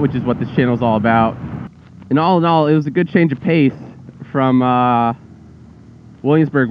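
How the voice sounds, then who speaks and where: A young man rides a scooter and talks in a relaxed, rambling way, full of ums and uhs. His voice sits in a middle register. It comes through a thin, phone-like helmet mic, with faint traffic and wind noise behind it. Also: medium pitch. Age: young adult. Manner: relaxed, rambling, frequent fillers. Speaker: motovlogger. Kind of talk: casual vlog narration while riding. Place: on a scooter on a suburban road outdoors.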